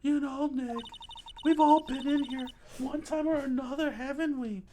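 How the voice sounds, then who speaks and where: A man's voice: very high voice, steady tone, normal speed, mellow, slight background noise, pitched above the performer's own voice. A man voicing a naive, earnest young female legal assistant from a video game, in a girlish manner. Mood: anxious, earnest. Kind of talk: video game character read-aloud.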